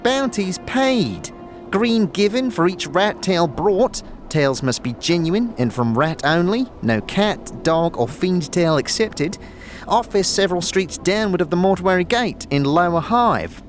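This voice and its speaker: A man's voice: sits high, lively intonation, measured pace, soft background music, pitched above the performer's own voice. A man voicing a pompous, officious bureaucrat's public notice in a fantasy audio drama, in clipped, self-important phrases.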